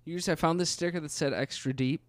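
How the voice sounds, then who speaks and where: A man's voice: fairly high pitch, fast talker, no echo. A man co-hosting a podcast in casual banter, joking and teasing as he talks. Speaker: podcast co-host and musician.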